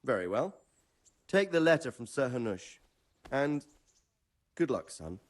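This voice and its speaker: Lively, knowledgeable older medieval lord. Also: male voice, mid-range voice. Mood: fatherly. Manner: measured, explanatory. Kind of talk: video game dramatic dialogue.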